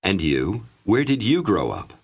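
A man narrating a language course in a clear, measured instructional way. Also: deep voice, huge pitch swings. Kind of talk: scripted language-lesson narration.

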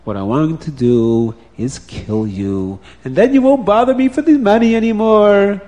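A man voicing a murderous, debt-dodging farmer in a told story, speaking slowly and emphatically with menace. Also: mid-range voice, steady tone. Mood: menacing. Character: murderous farmer who refuses to repay his debt. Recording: long hall reverberation.

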